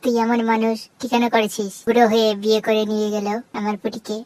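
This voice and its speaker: A woman's voice: on the high side, flat delivery, fast talker, darkish tone, dry recording, close to an everyday voice. A woman voicing a hot-headed, foul-mouthed male would-be hermit, brash and scolding, in a comic cartoon. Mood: angry. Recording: very noisy cartoon soundtrack.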